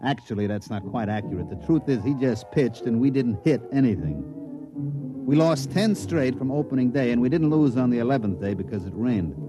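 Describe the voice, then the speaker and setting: A man's voice: mid-range voice, animated, a little fast, music in the background, natural voice, rough recording. A man acting a folksy, wry baseball coach in a 1950s radio drama, with dry, colloquial delivery.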